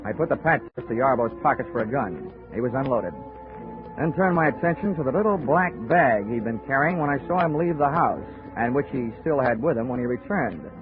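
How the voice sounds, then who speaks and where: A man's voice: mid-range voice, lively intonation, a little fast, lightly acted. A man playing a hard-boiled insurance investigator, narrating with dry wisecracks in a 1940s radio detective drama. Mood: wry. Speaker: narrator and lead. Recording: tinny old radio recording with music.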